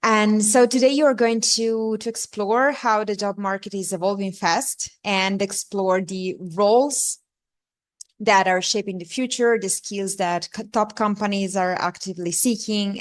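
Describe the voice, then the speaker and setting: A woman hosts a webinar over a video call, reading out a scripted welcome with small slips along the way. Her voice sits at a middle pitch. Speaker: webinar host. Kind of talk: hosting a webinar. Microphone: computer mic on a video call.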